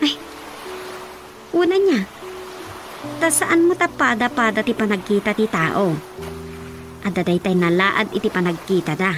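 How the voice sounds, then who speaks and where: A woman playing a chatty, teasing younger woman in an Ilocano radio drama comedy, with an animated delivery and an Ilocano accent. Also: mid-range voice, measured pace, long pauses, natural voice. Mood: playful, chatty. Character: chatty teasing woman, Rosa, rushing off to her mother. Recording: music bed under the voice.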